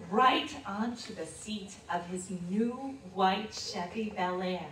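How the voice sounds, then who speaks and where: An actress playing a reticent, embarrassed old lady reminiscing about her youth in a theatrical stage monologue, hesitant and confiding with dramatic pauses. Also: medium pitch, bright, forceful tone. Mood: wistful, embarrassed. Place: live stage performance in a hall. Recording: distant mic in echoey room, noisy.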